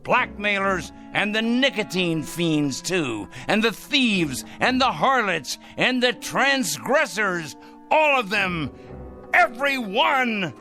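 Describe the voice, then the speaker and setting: Radio drama role: a self-righteous zealot, wildly swooping pitch, bright insistent edge, fussy and repetitive. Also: male voice, slow, faint music behind, full character voice. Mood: gloating, fervent. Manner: fussy, excitable, repeating himself emphatically. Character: fanatical, self-righteous male crank obsessed with punishing evil.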